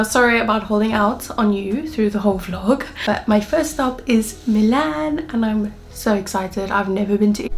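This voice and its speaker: Young South African woman vlogging over music. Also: on the high side. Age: 20s. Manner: candid, chatty. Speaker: travel vlogger. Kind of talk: personal vlog, talking to camera.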